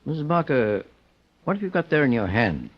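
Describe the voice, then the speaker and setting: A man's voice: mid-range voice, big pitch swings, a little fast. An actor playing a shrewd, composed male private detective in an old-time radio drama, probing, formal and authoritative. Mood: coolly probing. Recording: dull old radio recording.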